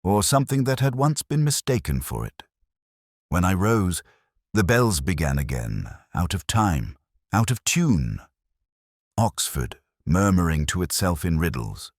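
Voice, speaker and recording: An extremely low male voice of an actor plays a brooding, intellectual detective telling his own mystery story. The delivery is measured and literary, with pitch that moves expressively through each phrase. The sound is close and dry, with a reflective, melancholy temper suited to audio drama. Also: dry recording. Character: melancholy, intellectual English police detective recounting a case. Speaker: narrator. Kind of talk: scripted first-person detective story narration.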